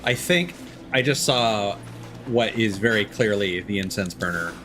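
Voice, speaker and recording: A man's voice: on the low side, a little slow, fairly bright tone, slight background noise. A man giving casual livestream gameplay commentary, thinking aloud in a rambling, jokey way. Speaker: video game streamer. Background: game ambience.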